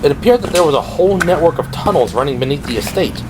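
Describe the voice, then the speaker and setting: A man's voice: a little high, expressive, fast. A man reading scripted dramatic narration for an audio drama. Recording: clear background noise and ambience. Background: ambient sound effects.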